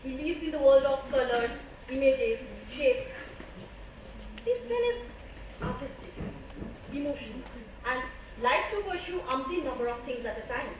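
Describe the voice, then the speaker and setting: A woman's voice: sits high, animated, moderate pace, pauses often. An Indian-accented woman playing an earnest, emphatic scientist in an amateur stage play. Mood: emphatic lecturing. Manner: emphatic, theatrical delivery. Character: earnest woman scientist, lecturing yet easily flustered. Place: stage in a hall, far microphone. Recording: very echoey distant stage recording, noisy.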